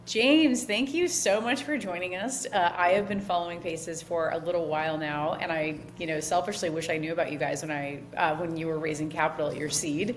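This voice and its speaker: A woman's voice: deep voice, fairly even intonation, a little fast, hardly any pauses, a little hiss or hum. A woman hosting a conversational podcast interview. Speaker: podcast host and investor.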